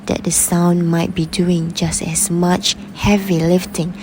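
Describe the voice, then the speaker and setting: A woman's voice: on the low side, flat delivery, slow pace, darkish tone, a little hiss or hum. A woman presenting a scripted explainer narration in the manner of a video essay.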